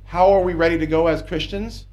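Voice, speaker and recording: A man's voice: fairly high pitch, fairly even intonation, a little fast, a little mellow. A man preaching a sermon from the pulpit of a small church, emphatic and conversational, often checking in with "okay?". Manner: emphatic, rising to insistent peaks, asks the congregation "okay?".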